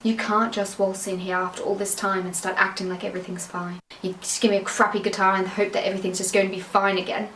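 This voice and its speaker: A woman with a posh British accent delivering a rapid, reproachful monologue. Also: medium pitch, little pitch movement, fairly bright tone, roomy sound, slight background noise, poor audio. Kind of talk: emotional scripted monologue.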